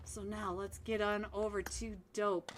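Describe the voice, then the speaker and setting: Woman streamer, upbeat live esports caster, mid pitch, faint hum behind. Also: a little slow. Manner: excited, chatty, talks to viewers. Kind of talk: live game commentary.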